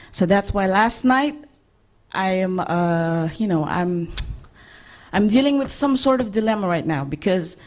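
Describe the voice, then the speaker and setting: A young woman from Indonesia, a singer chatting with her audience on stage in a chatty, self-deprecating way. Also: mid-range voice.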